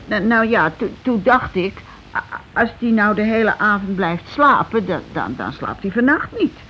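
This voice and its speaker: Actress as halting housewife, muffled noisy recording. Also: female voice, medium pitch, very animated, lightly acted. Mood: flustered, explaining. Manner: halting, repetitive, falters mid-sentence. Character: shaken working-class housewife circling around grim news. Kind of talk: naturalistic radio play dialogue. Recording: muffled, noisy old radio broadcast recording.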